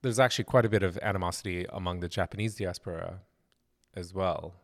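A man with a very low voice hosts a conversational interview. He speaks in a measured, thoughtful way and lays out his points steadily. The tone stays even, like a relaxed discussion. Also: vocal fry. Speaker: interviewer, host.

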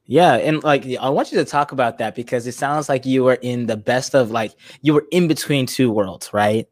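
A man's voice: medium pitch, normal speed, dry recording. A man hosting a casual podcast interview, asking questions in a rambling way full of fillers. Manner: rambling, many fillers like "you know". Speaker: podcast host.

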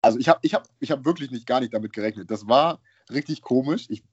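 A young man from Germany, a basketball player, chatting casually as an interview guest in relaxed slang, laughing often. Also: mid-range voice, quick pace, slightly rough, no echo, highs cut off. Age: young adult. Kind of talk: casual chat.

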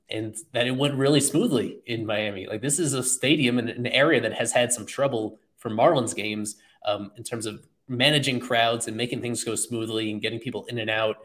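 A man hosting a casual sports podcast, talking in a thoughtful, measured way with frequent fillers. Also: mid-range voice, a bit bright. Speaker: podcast host.

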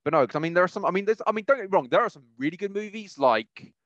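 A man with a slightly high voice chats casually and with strong opinions. He rambles and repeats phrases for emphasis. The close, dry recording sounds a little dull and muffled. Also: expressive, very fast, a bit bright, no echo. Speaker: podcast host. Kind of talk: casual opinionated chat about films.

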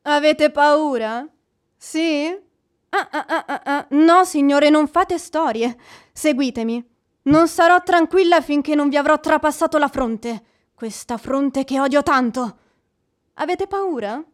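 Very high-voiced, fiery widow. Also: female voice, slow pace. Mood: taunting. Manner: imperious, dramatic, wavering. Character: proud, fiery, fickle young widow. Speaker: actress in a radio play. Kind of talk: theatrical radio drama acting.

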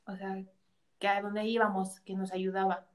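A woman's voice: mid-range voice, flat delivery, moderate pace. A woman with a Mexican accent, a guest in a casual chat, telling stories and laughing often. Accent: Mexican Spanish.

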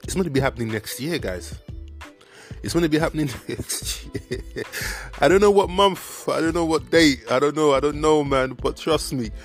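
A man's voice: fairly high pitch, normal speed, faint music behind. A young man hosting a podcast, talking casually about faith in an earnest, encouraging way, full of fillers and repeated phrases. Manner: earnest, repetitive, encouraging, preachy; heavy fillers like "like" and "you know what I mean". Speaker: podcast host. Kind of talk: casual faith talk to viewers.

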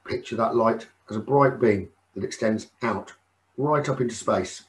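Guy doing guided meditation, calming slow delivery w lots of pauses, medium pitch. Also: rough recording. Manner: soothing, unhurried, with frequent pauses. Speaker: meditation teacher.

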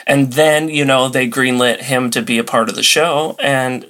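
A man's voice: medium pitch, fairly even intonation, normal speed, pushed and bright. A man talking as a podcast guest and enthusiast, explaining and telling stories in casual chat. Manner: conversational storytelling. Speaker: podcast guest, old-time radio enthusiast.

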